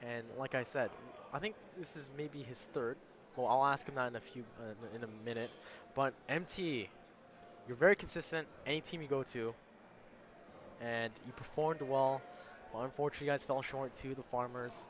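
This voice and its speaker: A man conducts a relaxed on-site interview at a gaming event. His voice sits at an average pitch and sounds slightly worn, as if he is losing it. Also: moderate pace, a little hiss or hum. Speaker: esports interviewer. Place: large indoor gaming event venue.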